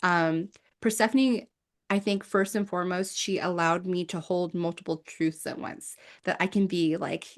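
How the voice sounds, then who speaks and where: A woman's voice: on the low side, steady tone, normal speed. A woman from South Korea and the United States speaking as a guest in a reflective interview, earnestly explaining her views. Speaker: guest, Persephone devotee.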